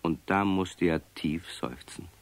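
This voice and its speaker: A man's voice: sits low, slow pace, dry recording. A man reading scripted narration for a children's radio story.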